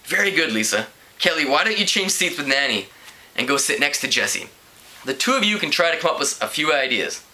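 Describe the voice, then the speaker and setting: Guy doing a perky lady teacher from a kids book, super bright n lively, real encouraging. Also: fairly high pitch, a little fast, heavily acted. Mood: brisk, patient. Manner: enthusiastic, encouraging, calm. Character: upbeat, chirpy woman home economics teacher.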